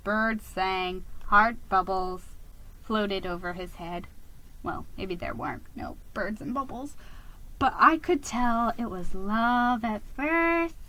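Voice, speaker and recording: Woman reading a kids book out loud, dramatic, slow pace, bright voice, stumbles a bit. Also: medium pitch, poor audio. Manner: expressive, stumbles and rereads phrases. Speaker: amateur reader of a children's book.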